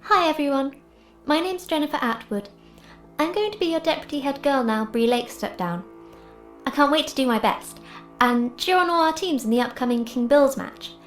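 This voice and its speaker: A woman's voice: high voice, lively intonation, moderate pace, soft background music. An actress playing a bubbly, eager teenage schoolgirl in an audio drama, peppy and cheering, in an echoing space. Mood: excited, cheerful.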